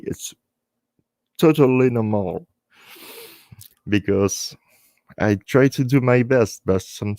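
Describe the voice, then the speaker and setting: Slow, low-voiced man with French accent. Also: dark tone, dry recording. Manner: halting English with short phrases and repeated words. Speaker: live stream host. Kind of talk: earnest unscripted talk to online viewers.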